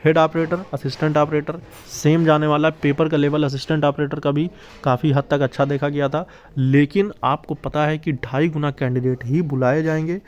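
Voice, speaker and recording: A man's voice: fairly high pitch, level tone, moderate pace. A man hosting an exam-prep video, explaining informally and emphatically to candidates, often checking that they follow. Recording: dull, lo-fi sound.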